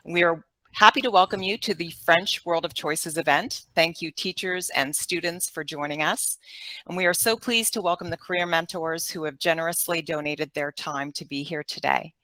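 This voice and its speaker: A woman's voice: fairly low pitch, moderate pace, bright, forceful tone, no room sound, rough recording. A woman opening an event as education lead with an enthusiastic, upbeat scripted welcome.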